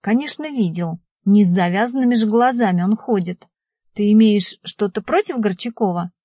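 A woman reading the part of a lively, matter-of-fact female friend in an audiobook. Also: medium pitch, normal speed, husky, dry recording, clean studio sound, lightly acted.

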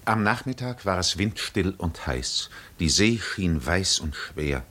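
Deep-voiced, measured male radio narrator. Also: sing-song, fairly bright tone. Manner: measured, deliberate phrasing with pauses. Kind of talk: literary scripted narration in a 1950s German radio drama.

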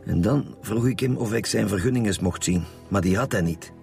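An actor with a Flemish accent playing a calm, shrewd, dryly needling chief police inspector in a radio drama. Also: male voice, on the low side, moderate pace, gravelly, soft background music. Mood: smugly reporting. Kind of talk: radio drama acting in Dutch.

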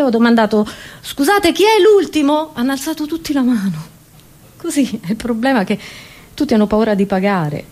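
A woman's voice: a little high, darkish tone. A woman comedian, delivering a satirical monologue in a theatrical, exclamatory way, speaking straight to her listeners.

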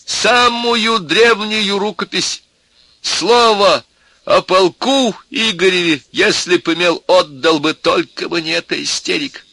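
Here is a man playing a tipsy, desperate professor in an audio story. He pleads in a high, sharp wail, with wide melodramatic swings. He sounds forever on the edge of hysterics. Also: vocal fry, slightly rough, no room sound, exaggerated voice. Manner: wailing, imploring, melodramatic.

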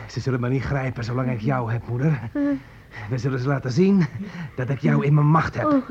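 A man's voice: medium pitch, fairly quick, a little mellow, natural voice. An actor playing an unhinged armed fugitive in a radio play, volatile, swinging between pleading and threats as he clings to his mother. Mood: menacing, taunting. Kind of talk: radio drama acting. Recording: dull, noisy old radio play recording.

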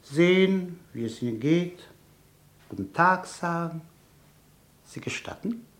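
A man's voice: fairly high pitch, expressive, slow, a bit bright. An actor playing a sly, mocking male informant who blackmails with oily politeness in a radio play. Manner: oily, mocking politeness, tells threats as a fairy tale.

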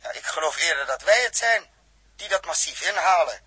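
Good-natured astronaut leader from old radio play, highish male voice, really bright n dry. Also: expressive, breathy edge, dry recording, heavily acted. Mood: practical, businesslike. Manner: colloquial, brisk, practical. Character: practical, good-natured male astronaut leading his crew. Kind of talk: 1960s Dutch radio drama acting.

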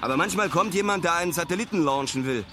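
An actor playing a brash, excitable young male traveller who keeps a slangy spoken diary in clipped phrases, in a radio drama. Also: on the high side, quick pace, soft background music. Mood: excited, fascinated.

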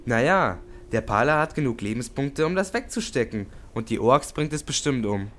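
A man's voice: mid-range voice, animated, normal speed, a bit bright, natural voice. A man playing a smug tabletop gamer in a comedy audio drama, with a dry, matter-of-fact delivery. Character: smug, dry male tabletop player of a mage.

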